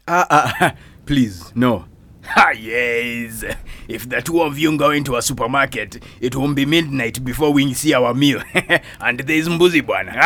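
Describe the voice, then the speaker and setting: A man's voice: medium pitch, slow, sharp tone. An actor with a Kenyan accent playing a hearty man in an audio drama. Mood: amused. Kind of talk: acted audio fiction.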